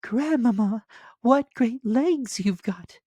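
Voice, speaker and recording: Very high-pitched innocent little girl. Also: lively intonation, slow pace, mellow, breathy edge, no echo. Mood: amazed. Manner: singsong, wide-eyed, trusting. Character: innocent sweet naive little country girl.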